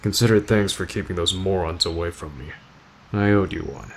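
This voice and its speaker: A man playing an aloof, stoic teenage delinquent boy, curt and deadpan, in an ASMR roleplay addressed to the listener. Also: deep voice, fairly quick, creaky, noisy background. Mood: grudgingly friendly.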